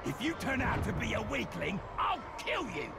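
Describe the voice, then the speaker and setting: Game orc warrior: aggressive threats, shouted, high and raspy-creaky, over loud music. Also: male voice, big pitch swings, normal speed, heavy background noise, heavily acted. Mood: threatening. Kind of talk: video game character acting.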